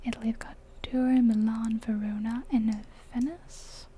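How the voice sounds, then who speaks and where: A woman's voice: on the high side, flat delivery, moderate pace, warm tone, a little hiss or hum. A woman whispering her way through a game in a chatty, rambling ASMR style. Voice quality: breathy unvoiced whisper. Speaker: ASMR gaming creator. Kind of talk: whispered ASMR gameplay commentary.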